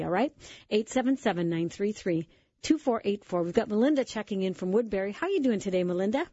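Husky-voiced woman radio host with a cold. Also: dark tone, dry recording, highs cut off. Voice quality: low voice. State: has a cold.

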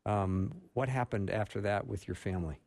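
A man's voice: on the low side, moderate pace, creaky, darkish tone, no room sound, studio quality. A man hosting a radio interview show in an enthusiastic, friendly manner.

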